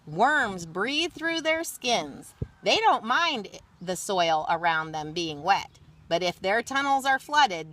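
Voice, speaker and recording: A woman reading a children's picture book aloud expressively, pausing for chatty explanatory asides. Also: medium pitch, fairly bright tone. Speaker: read-aloud storyteller.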